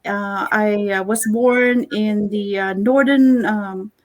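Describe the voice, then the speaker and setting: A middle-aged woman from the Philippines, a guest chatting on a video call, talking warmly with many fillers and soft laughs. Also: medium pitch, fairly even intonation, takes it slowly. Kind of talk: casual interview chat.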